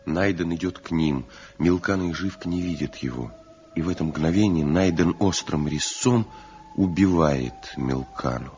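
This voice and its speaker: A man reading stage directions in a measured, solemn way. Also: deep voice, darkish tone, soft background music. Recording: dull lo-fi radio recording with faint hum.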